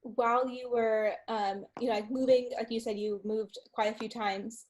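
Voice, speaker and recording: A woman's voice: a little high, steady tone, normal speed, darkish tone. A young woman hosting an interview show, reading an earnest introduction and asking questions.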